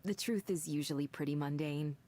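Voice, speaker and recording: A woman's voice: low voice, a little fast, mellow, lightly acted. An actress voicing a confident woman manager at a tech company, reassuring and corporate in tone. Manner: reassuring, corporate, slightly defensive. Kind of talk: video game voice acting. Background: game music.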